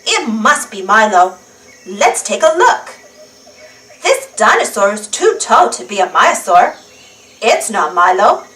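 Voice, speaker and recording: A high-voiced woman reads a picture book to small children, taking her time over each phrase. Her delivery is animated and deliberate, and she sounds set back from the microphone. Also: distant mic, low-quality recording. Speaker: children's storybook reader. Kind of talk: animated read-aloud storytelling for young children.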